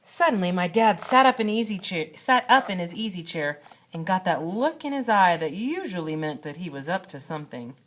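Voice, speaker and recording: A woman reading a children's storybook aloud like a schoolteacher, with expressive, dramatic narration and deliberate phrasing. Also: fairly low pitch. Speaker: second grade teacher reading aloud.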